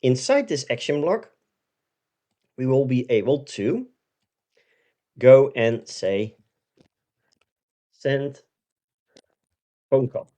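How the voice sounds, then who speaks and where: A man in his forties presenting a software tutorial step by step, often checking in as he explains. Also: medium pitch, lively intonation, extremely slow, long pauses. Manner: explanatory, step by step, with frequent "right?" check-ins.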